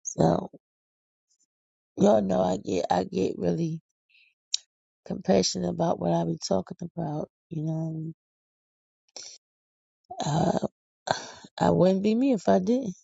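Tired silly philly woman rambling on her podcast, mellow voice, dull dry lo-fi sound. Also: fairly low pitch, slow pace, gravelly, pauses often, slightly rough, no room sound. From Philadelphia. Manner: silly, goofy, folksy, exclamatory. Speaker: podcast host. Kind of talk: casual rambling monologue to listeners.

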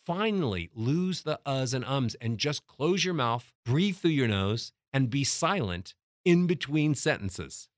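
Lively voice coach guy, middle-aged, big emphatic swings, dead dry mic. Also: unhurried, dry recording. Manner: emphatic, demonstrates pitch and loudness changes. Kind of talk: motivational teaching talk.

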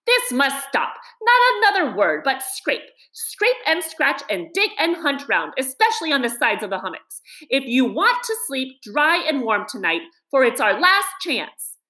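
Storybook rat, played by a woman: male, good-hearted, eager and easily worked up, with highly animated intonation. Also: full character voice, low-quality recording. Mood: angry, urgent. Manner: brisk, eager, exasperated when thwarted.

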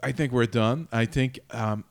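A man's voice: medium pitch, moderate pace, creaky. A man co-hosting a podcast in casual chat, laid-back and often restarting his sentences. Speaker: podcast co-host.

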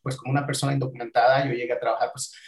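An echoey room carries the voice of a Mexican man in his forties. He speaks at a middle pitch, reflective and candid, sharing personal stories as an interview guest. The odd English filler word slips into his Spanish. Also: level tone, racing. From Acapulco, Mexico. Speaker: podcast guest.

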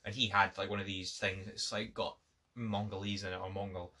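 A teenage Scottish boy with a bright, forceful voice, chatting and teasing his friends as a podcast co-host. He jokes around and stumbles over his words as he talks. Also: sits low, little pitch movement, brisk. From Scotland. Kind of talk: casual chat among friends.

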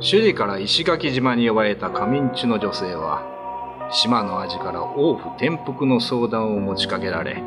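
A man's voice: on the low side, animated, normal speed, over loud music. A man narrating a scripted classic ghost story as a radio drama narrator.

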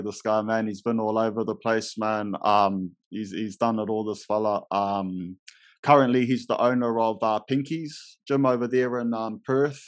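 A man hosts a chat in a low, laid-back voice, full of bro and brother. The sound is dry and close, with no room around it. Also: no echo. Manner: laid-back, calls everyone bro and brother, many fillers. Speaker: podcast host. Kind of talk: casual interview.

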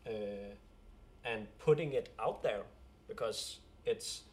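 Danish man answering with hesitant pauses. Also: lowish voice. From Denmark. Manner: hesitant, many fillers, searching for words. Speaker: band member. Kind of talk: interview answers.

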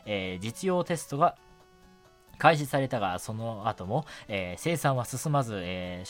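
Animated male radio host. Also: fairly low pitch. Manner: filler-heavy, stumbling over words, self-correcting. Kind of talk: solo radio show segment, reading listener mail and chatting. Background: background music.

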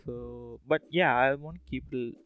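A man's voice: medium pitch, moderate pace, phone-quality sound. A man hosting a podcast solo, talking casually in a rambling, hesitant, informal way. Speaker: podcast host.

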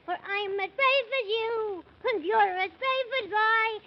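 High-pitched plucky little boy. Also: measured pace. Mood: cheerful, tiring. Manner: sing-song rhyming delivery. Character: plucky, cheerful six-year-old boy adventurer. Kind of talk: 1930s children's radio serial acting. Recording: muffled, noisy 1930s radio recording.

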